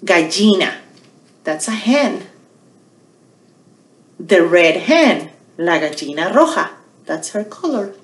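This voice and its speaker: Lively, unhurried woman storytime host, echoey room. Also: lowish voice, a little hiss or hum. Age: middle-aged. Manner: deliberate, questioning, repeats phrases in two languages. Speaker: children's storytime host at a public library. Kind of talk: bilingual storytime teaching and narration for small children. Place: small quiet indoor room.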